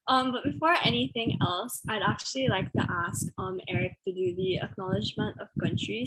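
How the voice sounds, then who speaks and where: A woman's voice: mid-range voice, creaky, slightly rough, low-quality recording. A young woman hosting a casual student podcast over a video call, upbeat and chatty. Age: young adult. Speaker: podcast host. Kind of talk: casual chat. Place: bedroom, indoors. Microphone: earphone mic on a video call.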